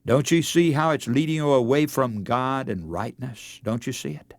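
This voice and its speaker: A man's voice: medium pitch, moderate pace, no echo. An older man, an evangelist, preaching a dramatic radio sermon in a fervent, oratorical manner, building on repetition and rhetorical questions.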